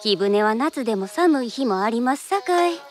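A woman's voice: fairly high pitch, lively intonation, moderate pace, faint music behind, close to an everyday voice. A woman voicing a gracious, youthful teahouse proprietress near forty, with a Kyoto accent and courteous manner. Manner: soft, courteous, welcoming.

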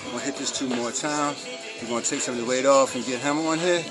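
A man talks through a workout as a fitness trainer, loose and slangy, with bursts of hype. Music plays loudly behind him in the gym. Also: medium pitch, fast. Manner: hyped, motivational, loose slangy talk. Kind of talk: casual workout vlog coaching. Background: music playing.

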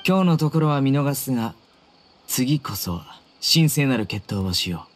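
A man's voice: medium pitch, expressive, a little slow, a little hiss or hum, natural voice. A man voicing a stoic young desert warrior in an anime game, grave and declarative. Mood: solemn.

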